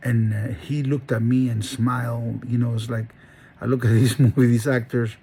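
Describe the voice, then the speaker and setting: Gravelly man, earnest and rambling. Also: medium pitch, flat delivery, moderate pace, mellow. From Honduras. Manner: earnest, rambling storyteller with many restarts. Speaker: dog breeder and channel host. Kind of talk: personal monologue.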